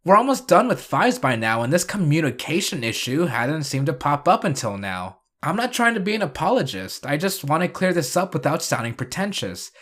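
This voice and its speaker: A man's voice: fairly high pitch, very animated, brisk, few pauses, fairly bright tone. A man narrating a scripted video review and recap, with dry, sarcastic asides.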